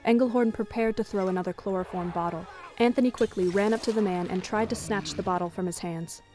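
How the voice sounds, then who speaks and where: A woman reads scripted story narration for an audio drama. Her voice sits at a medium pitch, with music playing softly behind it. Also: fast talker.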